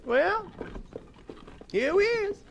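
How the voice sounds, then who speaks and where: A man's voice: extremely high pitch, very animated, drawn-out words, vocal fry, slight background noise, exaggerated voice. An actor playing a stubborn, folksy male wagon driver in a radio drama, with a reluctant drawl. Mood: stubborn, scolding.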